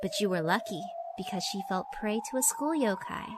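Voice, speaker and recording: Music plays clearly behind a cruelly amused female school spirit, performed by a woman. Her voice sits at a medium pitch as she narrates a horror visual novel with theatrical, mock-polite menace, taunting the listener with pointed questions. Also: brisk, close to an everyday voice. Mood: smug, taunting.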